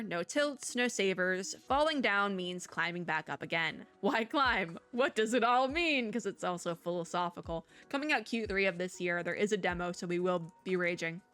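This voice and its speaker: A woman's voice: medium pitch, fairly bright tone. A young woman streamer with a sore throat, chatting casually and self-deprecatingly while she reviews games, often restarting her sentences. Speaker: VTuber reviewing game showcase. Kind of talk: casual commentary.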